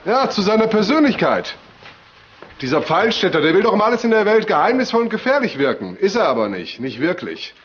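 A man's voice: sits high, sing-song, a little fast, muffled recording, slight background noise. An actor playing a shrewd, commanding male detective chief in a German crime radio drama, probing and dryly sardonic. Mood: decisive.

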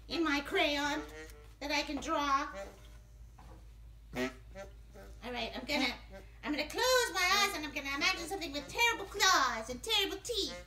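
A man voicing an excitable, childlike little ghost puppet in a children's puppet show, whiny, exclaiming and repeating himself. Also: extremely high pitch, echoey room, heavily acted.

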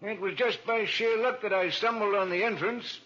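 High, bright, eccentric explorer uncle. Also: male voice, moderate pace. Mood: eager. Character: older male explorer uncle, brisk, eccentric, unsuspecting. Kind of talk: 1940s radio acting. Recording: dull 1940s radio recording.

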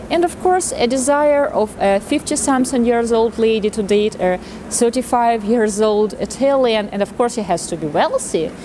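A woman in her thirties talking to camera outdoors in a city street, explaining her matchmaking work in an animated way and acting out remarks. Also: medium pitch, background noise. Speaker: matchmaker presenting a video channel.

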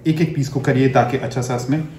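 A man's voice: medium pitch, fairly even intonation, brisk, darkish tone. A man in his thirties, a chef, giving a step-by-step cooking demonstration in a home kitchen while working with his hands. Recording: echoey kitchen, faint background noise.

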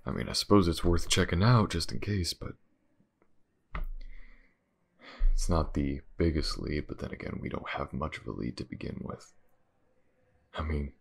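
A man playing a gruff, weary, aloof detective in an audio roleplay, speaking haltingly and informally to the listener in a husky mutter. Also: animated, exaggerated voice. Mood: pensive. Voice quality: low, gravelly, husky. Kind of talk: intimate audio roleplay acting, addressed to the listener.

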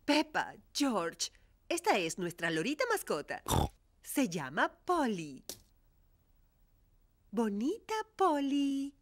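Lively old granny pig. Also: female voice, a little high, slow pace, pauses often, heavily acted. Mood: cheerful, sweet. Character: sweet, cheerful old grandmother pig. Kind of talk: children's cartoon dialogue.

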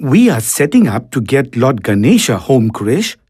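A man's voice: mid-range voice, very animated, normal speed, a little mellow, slightly rough. A man playing a kindly, cheerful old grandfather in a children's cartoon.

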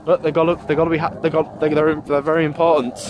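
A young man, somewhat high-voiced, rambles casually into his phone, stopping to restart and correct himself. He is walking outdoors on open, windy ground. A loud wind rushes across the phone mic the whole time. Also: level tone, lo-fi sound. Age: 20s. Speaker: vlogger. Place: outdoors on a windy grassy embankment. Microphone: phone held at arm's length.

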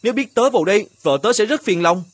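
A man voicing an earnest, troubled young male painter and devoted husband in an animated fairy tale. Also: high-pitched, fast talker, no echo, studio quality. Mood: flustered.

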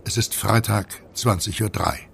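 An actor narrating a conspiracy thriller audio drama as a gravelly, husky storyteller with dramatic delivery. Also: male voice, fairly low pitch, measured pace, a bit bright, slight background noise, exaggerated voice. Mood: suspenseful.

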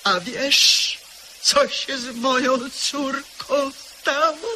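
An actor playing a stern figure in a radio drama, giving a servant hushed, ominous orders. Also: male voice, very slow, noisy background, exaggerated voice. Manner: grim, lowering to hushed commands.

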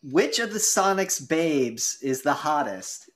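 A man's voice: a little high, very animated, slow, a bit bright. A man co-hosting and judging a casual debate, chatty and blunt with some swearing. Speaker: debate judge and co-host.

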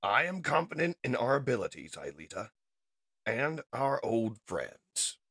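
A man playing a noble robot leader who speaks in a solemn, measured way in a comic dub. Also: medium pitch, sing-song, fairly bright tone, husky, no room sound, studio quality, full character voice. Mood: firm, earnest. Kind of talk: dramatic comic dub acting.

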